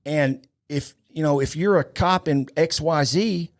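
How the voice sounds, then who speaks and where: A man's voice: fairly high pitch, unhurried. A man speaking as an opinionated interview guest, emphatic and rhetorical, repeating his points. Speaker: podcast guest, police leadership speaker.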